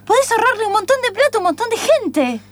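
A woman with an Argentine accent playing an excitable, exclamatory, insistent bicycle customer in improvised radio theatre. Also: extremely high pitch, fast talker. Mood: astonished, insistent.

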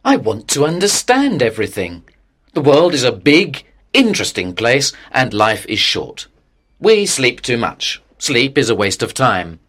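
A man's voice: mid-range voice, very animated, unhurried, fairly bright tone. A man playing an intense, restless teenage boy in an audio story, speaking in an earnest, insistent way. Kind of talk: acted dialogue in an audio story.

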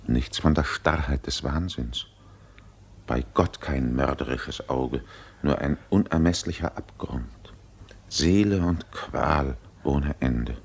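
An actor in a German radio play voicing a solemn, authoritative and compassionate physician in measured declamation. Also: male voice, extremely low pitch, husky, exaggerated voice. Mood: solemn, moved.

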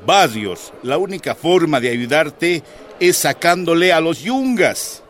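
A man's voice: fairly high pitch, animated, unhurried, a bit bright. A man playing a stern, condescending police captain in a radio drama, emphatic and menacing.

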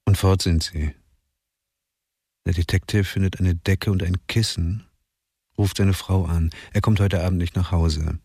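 A man narrating a radio crime drama in a measured storytelling voice. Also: sits low, fairly even intonation, vocal fry, darkish tone, slightly rough, no room sound, clean studio sound. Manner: measured, present-tense storytelling.

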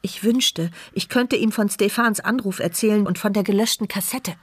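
A woman's voice: medium pitch, moderate pace, slightly rough, dry recording, natural voice. An actress playing a determined, watchful, wry paralysed woman who narrates her silent thoughts in a radio drama. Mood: intent. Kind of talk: radio drama inner monologue.

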